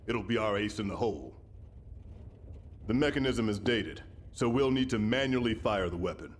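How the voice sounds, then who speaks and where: A man playing a stern, commanding general in a video game, speaking with measured authority. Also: lowish voice, lively intonation, a little hiss or hum, natural voice. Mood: stern, businesslike. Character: stern, commanding male army general briefing troops. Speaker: military commander. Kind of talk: video game cutscene acting.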